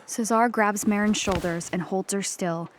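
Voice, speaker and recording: A woman's voice: medium pitch, steady tone, moderate pace, a little hiss or hum. A woman reading stage directions as scripted narration for a play.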